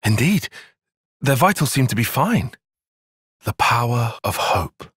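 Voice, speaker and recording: Precise, husky young soldier. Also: male voice, mid-range voice, sing-song, measured pace, a bit bright, no echo, studio quality, exaggerated voice. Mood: somber, reflective. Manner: precise, formal, bookish phrasing. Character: analytical, earnest young male soldier and tactician. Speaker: video game voice actor. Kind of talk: dramatic game cutscene acting.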